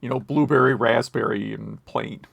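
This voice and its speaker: A man's voice: medium pitch, moderate pace, vocal fry, a bit bright. An American man co-hosting a podcast in casual chat, wry and opinionated. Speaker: podcast co-host.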